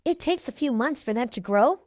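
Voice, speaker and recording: A woman's voice: high-pitched, lively intonation, moderate pace, a little mellow. A woman voicing an eager, caring young cartoon puppy girl in a storybook, earnest and full of wonder. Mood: gentle, curious.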